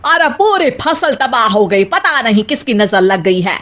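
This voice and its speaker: A woman's voice: on the high side, very animated, a little slow, fairly bright tone. A woman playing a scolding, complaining Indian village mother-in-law in a cartoon story, with a nagging, exclamatory delivery. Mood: accusing. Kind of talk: Hindi animated moral story acting.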